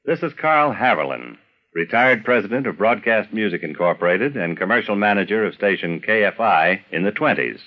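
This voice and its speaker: A man's voice: lowish voice, lively intonation, moderate pace, highs cut off. An older man narrating a scripted documentary with some personal reminiscence, as a storyteller of past times.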